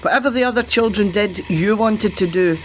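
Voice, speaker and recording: A woman's voice: mid-range voice, normal speed, a little hiss or hum, natural voice. An actress playing a chatty, doting and cheeky old grandmother in a radio play, fussing and teasing as she talks. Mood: warm, reminiscing.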